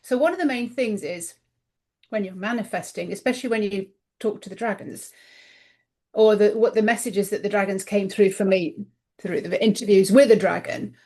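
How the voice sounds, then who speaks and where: A woman's voice: medium pitch, normal speed, a little mellow. A middle-aged woman, a guest author in a casual podcast interview, talking earnestly and voicing her own inner thoughts aloud.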